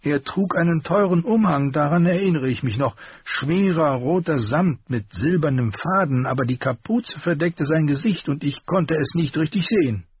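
A man voicing a stubborn older male master armorer in a high, strained, pleading voice. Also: expressive, moderate pace, few pauses, husky, no echo. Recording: dull lo-fi recording.

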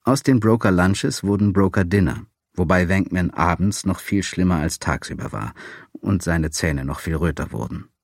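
A man's voice: low voice, normal speed, creaky, no echo. A man reading a first-person memoir as an audiobook, with dry, wry storytelling. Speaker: audiobook narrator.

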